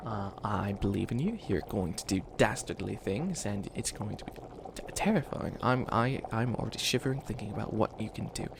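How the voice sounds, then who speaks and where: A man's voice: fairly low pitch, lively intonation, a little fast, vocal fry, hardly any pauses, noisy background. A man voicing an ancient bunny witch, a failed villain who is flustered and kindly, rambling and stammering through a comedic ASMR roleplay. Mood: helpful, encouraging. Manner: rambling, stammering, apologetic, trails off. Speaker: mentor to a new apprentice.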